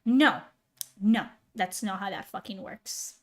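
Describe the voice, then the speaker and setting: A woman's voice: mid-range voice, slow, a bit bright. Sarcastic, heated woman streamer ranting.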